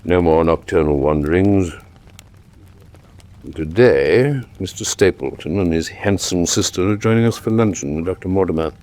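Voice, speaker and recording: An actor playing an earnest, gentlemanly English detective's companion in a radio drama, with formal, measured diction. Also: male voice, sits low, lively intonation. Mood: sober, reporting. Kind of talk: radio drama acting, reading letters aloud.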